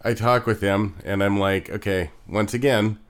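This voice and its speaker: A man's voice: on the low side, fairly even intonation, normal speed. A man co-hosting a podcast in casual chat, with a laconic, dry way of talking. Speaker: podcast co-host.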